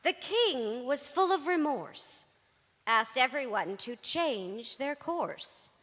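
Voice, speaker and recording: A woman performs dramatic spoken-word storytelling in measured, theatrical short phrases. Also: high voice, animated, fairly bright tone, slight background noise. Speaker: cast member in a dramatic reading. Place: large synagogue hall. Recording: reverberant large hall.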